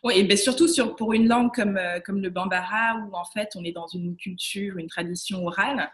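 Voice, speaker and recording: A woman from Côte d'Ivoire hosts a relaxed podcast interview. She asks questions in an easy conversational way and often restarts her phrases, and her pitch sits at an average level. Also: normal speed. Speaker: podcast host. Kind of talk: casual interview chat.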